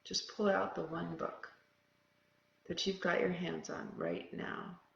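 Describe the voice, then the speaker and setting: Meditation guide, gravelly fry, mid pitch, gentle pausing delivery, slightly dull roomy recording. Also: sing-song.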